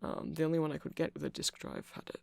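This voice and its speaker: A man's voice: a little high, fast talker, creaky, darkish tone, dry recording. A man playing a nerdy, awkward, affectionate vampire, rambling hesitantly in an ASMR roleplay. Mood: earnest, rambling.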